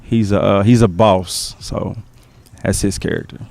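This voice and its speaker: A man's voice: fairly low pitch, steady tone, measured pace, gravelly, darkish tone, no room sound. A young man, a stage actor, chatting casually in an interview, laid-back and joking, dropping "man" into his sentences.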